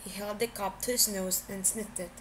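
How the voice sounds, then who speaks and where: A woman's voice: medium pitch, fairly even intonation, normal speed. A woman narrates fiction in a mid-range voice. It sounds like an amateur home recording, with noise in the background. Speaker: amateur audiobook reader. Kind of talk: scripted story narration.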